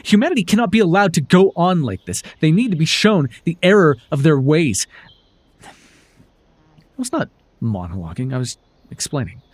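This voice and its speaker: Male actor as sardonic telepathic villain, husky, very animated pitch, theatrical, condescending, secretly tender, ASMR roleplay. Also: normal speed, pauses often, no room sound. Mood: indignant, grandiose. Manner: theatrical, grandiose, flustered, dryly condescending.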